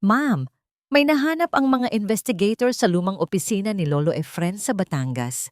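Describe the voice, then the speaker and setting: A woman's voice: medium pitch, expressive, moderate pace, no echo, studio quality, voice pushed up, close to an everyday voice. A woman as a grave, earnest male attorney. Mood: worried, urgent. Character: serious, worried male attorney bearing grave secrets.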